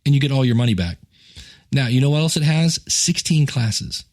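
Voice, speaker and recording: A man's voice: medium pitch, lively intonation, normal speed, darkish tone. A man hosting a podcast in a casual, chatty way, rambling into joking, self-deprecating asides. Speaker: podcast host and podcasting teacher. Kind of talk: casual solo podcast talk with plugs and announcements.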